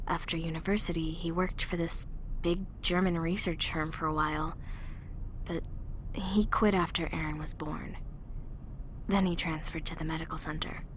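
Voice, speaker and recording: A woman's voice: low-pitched, fairly even intonation, normal speed, fairly bright tone, slight background noise. A woman playing an anxious, protective young woman in an audio drama, hesitant and defensive. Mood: subdued, uneasy.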